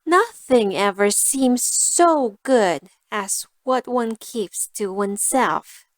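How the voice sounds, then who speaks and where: A woman's voice: a little high, lively intonation, slow pace, no room sound. A woman voicing a sly, self-serving female cat in a storybook, with a cool, persuasive manner. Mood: self-satisfied.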